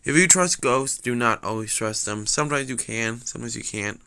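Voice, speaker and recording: A young man with a slightly raspy voice telling a rambling story, full of fillers and restarts. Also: medium pitch, normal speed, sharp tone. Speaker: vlogger.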